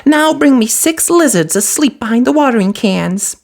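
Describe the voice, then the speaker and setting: A woman voicing a brisk, bossy fiery fairy godmother in a storybook reading. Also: fairly high pitch, expressive, gravelly, pitched above the performer's own voice. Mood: snappish. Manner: brisk, bossy, abrupt commands. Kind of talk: storybook read-aloud.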